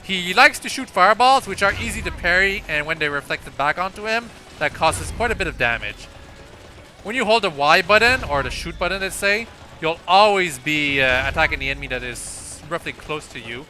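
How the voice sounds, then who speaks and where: Bright, high-pitched male gameplay commentator. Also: lively intonation, normal speed. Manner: informal, explanatory. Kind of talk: casual video game walkthrough commentary. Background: faint game music.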